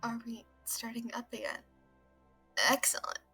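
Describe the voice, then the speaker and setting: Highish cheeky schoolboy prankster lady acting, sly, upbeat, audio drama. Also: level tone, measured pace, vocal fry, pushed and bright, slightly rough, faint music behind, full character voice. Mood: mischievous.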